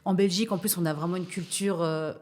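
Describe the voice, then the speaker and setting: A woman's voice: on the low side, fairly even intonation, fast, darkish tone. A woman hosting an interview, asking casual questions and giving frequent short backchannels. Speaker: interviewer, host.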